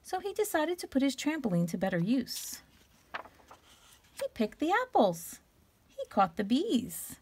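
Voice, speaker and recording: A woman reads a picture book to young children with very lively, swooping intonation. There is some creak in her voice. She draws out key words and stops to put questions to her listeners. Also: high-pitched, slight background noise. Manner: expressive, stretches key words, pauses to ask questions. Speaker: teacher reading aloud. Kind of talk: animated children's storybook read-aloud.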